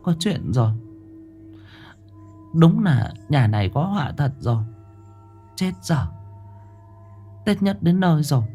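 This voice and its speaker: A man doing a shrill, frantic old village wife. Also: very animated, moderate pace, soft background music. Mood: frightened whisper. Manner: stammering, frantic, breathless.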